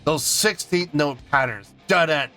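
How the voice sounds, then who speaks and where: Man hosting a music review, slightly high voice. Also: measured pace, pushed and bright. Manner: repeats and restarts phrases for emphasis. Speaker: music reaction channel host. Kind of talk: casual song review to camera.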